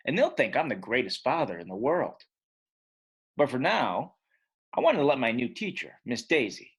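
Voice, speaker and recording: A school-hating eight-year-old boy telling his storybook tale, acted out by a man. He is grumpy and sure of his opinions, with very lively, swooping emphasis and a slightly rough, husky tone. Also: medium pitch, heavily acted. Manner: emphatic, expressive. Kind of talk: first-person children's storybook narration.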